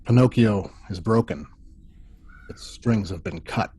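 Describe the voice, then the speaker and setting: A man reading the part of a stern first officer acting as prosecutor, deliberate and formal, in a sci-fi table read. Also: fairly low pitch, warm tone, breathy edge. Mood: grave, forceful. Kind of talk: amateur table read of a sci-fi courtroom script.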